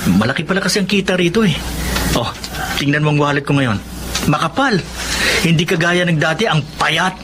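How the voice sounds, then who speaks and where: A male actor plays an optimistic young man full of big dreams. His voice sits high and sounds boyish and animated, in radio drama style, with clear noise around it. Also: fairly quick, pauses often, natural voice. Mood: hopeful, persuasive. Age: young adult.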